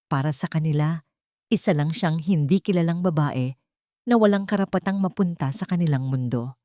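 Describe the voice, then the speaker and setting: A woman's voice: sits low, normal speed, dark tone, no echo, clean studio sound. A woman reading a scripted dramatic story as its narrator.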